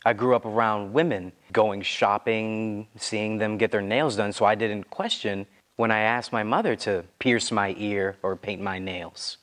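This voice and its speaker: Calm young man reflecting aloud. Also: lowish voice, a little slow. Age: young adult. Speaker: student storyteller. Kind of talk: performed personal monologue. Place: dark indoor stage.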